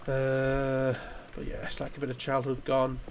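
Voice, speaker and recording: A man from the UK, hoarse from a chest infection, chatting casually while playing a game, rambling and swearing with frequent restarts. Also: medium pitch, monotone, unhurried, slight background noise. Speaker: gaming YouTuber.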